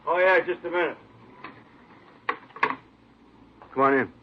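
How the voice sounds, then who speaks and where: An actor playing a talkative, puzzled appliance store manager, hesitant and seeking agreement, in an old radio drama. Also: male voice, on the high side, very fast, lightly acted. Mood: flustered, polite. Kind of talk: 1950s radio drama acting. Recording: muffled old radio recording.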